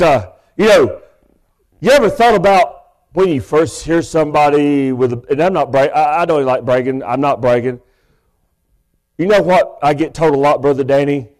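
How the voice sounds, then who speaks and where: A man, preaching a sermon from a church pulpit microphone in a big, loud voice with folksy asides. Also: a little high, rough recording. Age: 50s. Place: church sanctuary.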